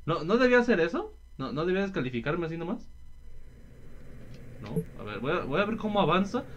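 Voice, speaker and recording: A man's voice: high voice, very animated, brisk, dull audio, slight background noise. A man with a Mexican accent giving casual gameplay commentary, slangy and full of swearing. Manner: slangy and profane. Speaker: gaming video host. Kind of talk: casual gameplay commentary, reading subtitles aloud.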